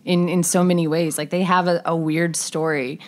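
A woman's voice: fairly low pitch, little pitch movement, normal speed. A woman from the Carolinas chatting casually as a podcast guest, with lots of fillers and laughter. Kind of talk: casual chat. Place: studio.

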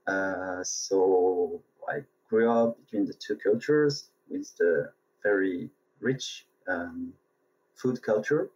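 Man, French accent, mellow husky voice, hesitant interview guest, searching for words, muffled line. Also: low voice, takes it slowly. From France and Tunisia. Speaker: interview guest, sake brewery worker. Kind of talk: casual interview answers. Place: remote call from Japan. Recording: dull, muffled remote connection.

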